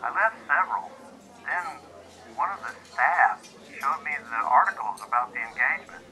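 Through a very bright telephone filter comes a businessman in an audio drama. He is courteous and businesslike, apologetic yet firm. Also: mid-range voice, lively intonation, normal speed, low-quality recording. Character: polite, businesslike company man, apologetic but firm. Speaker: actor.

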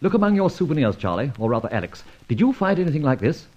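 An actor playing a suave, debonair gentleman adventurer in an old radio serial, urbane, wordy and teasing in a mock-formal way. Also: fairly high pitch, big pitch swings, quick pace, lo-fi sound. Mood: brisk, assured.

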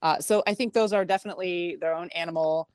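A woman's voice: lowish voice, steady tone, fast, clear, resonant tone, no echo, clean studio sound. A woman tech reporter, a radio guest, talking in a chatty, wry way and laughing easily. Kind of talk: radio interview.

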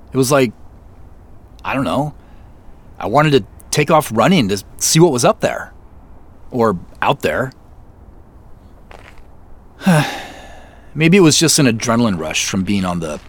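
An actor playing a curious young executive visiting a farm, talking hesitantly with nervous laughs. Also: male voice, mid-range voice, husky. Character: curious, unsettled young city executive man. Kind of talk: audio drama acting. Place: outdoors on a farm at night.

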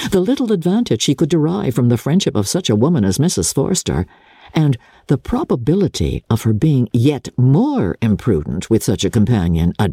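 Expressive, low-toned woman narrating, very animated. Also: slightly rough, no echo, clean studio sound. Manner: theatrical, measured, expressive. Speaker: audiobook narrator. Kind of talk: dramatic scripted literary narration.

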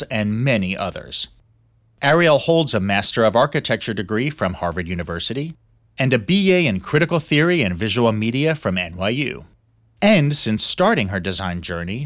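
A man hosting a podcast, reading a scripted introduction of his guest with measured emphasis. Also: medium pitch, fairly bright tone, dry recording, studio quality. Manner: reads a formal bio with measured emphasis. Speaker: podcast host.

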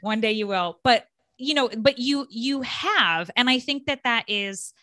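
A woman's voice: on the high side, expressive, a little slow, pushed and bright. A woman hosting a podcast interview, chatty and casual with lots of filler words. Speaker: podcast host.

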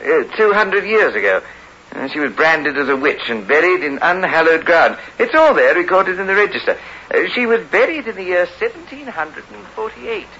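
An actor playing an excitable, blunt, emphatic investigator in a 1960s radio horror drama. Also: male voice, high voice, moderate pace, heavily acted. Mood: amazed, eager. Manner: emphatic, animated. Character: excitable, blunt male investigator of an old mystery. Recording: echoey, dull vintage radio recording, faint hiss.

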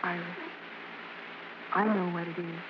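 An actress playing a gentle, soothing mother who works on the stage, in vintage radio drama style. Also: on the low side, steady tone, a little slow, background noise, lightly acted. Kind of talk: 1940s-50s radio drama acting. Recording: dull vintage radio recording with music.

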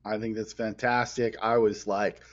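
A man's voice: on the low side, moderate pace. A man hosting an interview, enthusiastic and upbeat in tone. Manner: enthusiastic, lively. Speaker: interview host. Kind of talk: casual interview. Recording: dull lo-fi sound.

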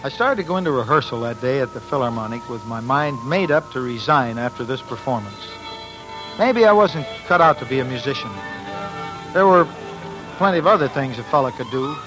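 A man's voice: mid-range voice, animated, fairly quick, lightly acted, poor audio. A man acting an earnest, insecure young American musician who tells his own story in a 1940s radio drama. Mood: resigned. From United States. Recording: old radio broadcast with music.

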